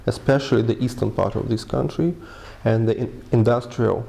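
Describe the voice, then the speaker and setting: Brief: male expert, gravelly mellow voice, deliberate analysis. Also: mid-range voice. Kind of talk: documentary interview.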